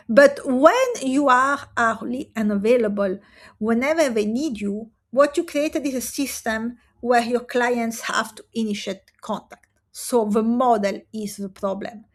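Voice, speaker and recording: Woman, fairly high voice, earnest, emphatic, direct-to-camera coaching talk. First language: Italian and French. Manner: earnest, emphatic, with rhetorical pauses.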